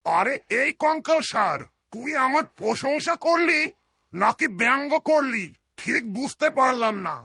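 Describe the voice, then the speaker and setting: A man plays a huge cartoon bird henchman with a very high, gravelly voice. He is a bossy, taunting bully with a sharp edge, recorded dry. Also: lively intonation, a little slow, dry recording, exaggerated voice. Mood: suspicious, taunting. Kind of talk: cartoon voice acting.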